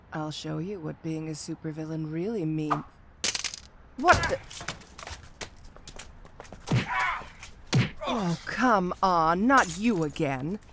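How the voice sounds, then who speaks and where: A woman playing a flirty, dangerous cat burglar supervillain in an audio roleplay, teasing and sardonic with amused asides. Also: fairly low pitch. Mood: playful menace, then annoyed. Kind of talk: scripted audio roleplay acting addressed to the listener.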